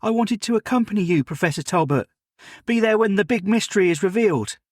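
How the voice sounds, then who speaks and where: A man's voice: sits high, expressive, fast talker, no echo, clean studio sound, voice pushed up. A man voicing an eager, deferential young servant boy in a Victorian tale. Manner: earnest, deferential, keen.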